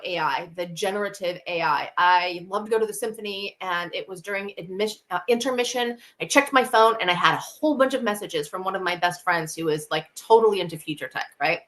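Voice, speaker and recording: A woman's voice: medium pitch, fairly bright tone. A woman speaking as a guest expert in a conversational interview, enthusiastic and explanatory. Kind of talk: conversational interview answers with anecdotes.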